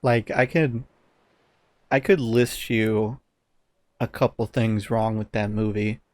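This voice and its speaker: A man's voice: fairly low pitch, steady tone, takes it slowly, dry recording. A man co-hosting a casual podcast, giving blunt opinions with some swearing and often searching for words. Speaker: podcast co-host.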